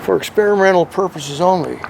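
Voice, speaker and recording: High-pitched man with a gravelly creak. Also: a little fast, very noisy. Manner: rambling, thinking aloud. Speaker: vlogger demonstrating CB radio antennas. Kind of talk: casual hands-on vlog chat. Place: in and around a parked truck outdoors.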